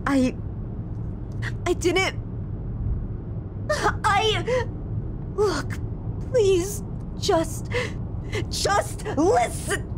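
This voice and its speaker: A woman playing a sadistic, possessive young yandere on the run in an intimate audio roleplay, speaking theatrically with menacing sweetness. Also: heavily acted. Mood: pleading, desperate. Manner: theatrical, teasing, drawn-out phrasing with menacing sweetness. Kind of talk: intimate ASMR audio roleplay, addressing the listener. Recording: rumbling low background noise.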